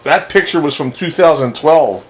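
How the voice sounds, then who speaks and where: A man's voice: on the high side, moderate pace, music in the background. A man in casual, laid-back conversation as a radio operator, heard over a noisy radio transmission. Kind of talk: casual chat. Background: clear radio noise.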